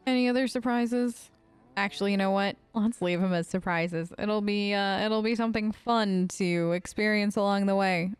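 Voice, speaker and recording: Woman gamer, clear smooth voice, dry close sound, casual role-play, slightly hesitant, soft music behind. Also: medium pitch, fairly even intonation, moderate pace, dry recording. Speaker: gamer voicing her player character. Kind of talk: improvised role-play let's play.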